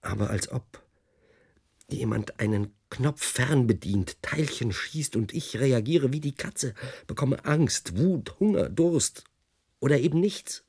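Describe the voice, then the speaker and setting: A man acting a haunted physicist in a German radio play, speaking in an introspective, halting and at times declamatory manner. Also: mid-range voice, animated, a little mellow, breathy edge, full character voice. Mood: anguished, confused. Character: troubled male physicist, haunted and brooding, lecturing on particles.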